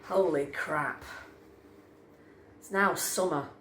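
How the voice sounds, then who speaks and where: A woman giving an expressive, wry reading of a short story, with deliberate pauses between short phrases in an echoing space. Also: low voice, slight background noise. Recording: roomy, echoing sound.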